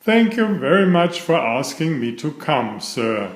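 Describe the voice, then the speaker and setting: A man's voice: on the high side, big pitch swings, measured pace, reverberant. A man reading the part of a polite, eager little male tram engine in a children's storybook. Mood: grateful.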